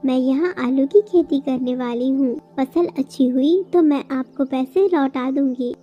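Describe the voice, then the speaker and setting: Woman voicing a high-pitched, pleading mother bird. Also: a little slow, dull audio, soft background music. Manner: pleading, earnest. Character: anxious, pleading widowed mother bird, hardworking and tender. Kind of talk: Hindi children's cartoon dialogue.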